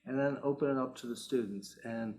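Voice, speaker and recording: A man's voice: medium pitch, darkish tone, low-quality recording. Male moderator from the Chicago area, mid-range voice, hesitant and repeating himself. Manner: halting, many restarts. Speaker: class moderator. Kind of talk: hosting a class conversation.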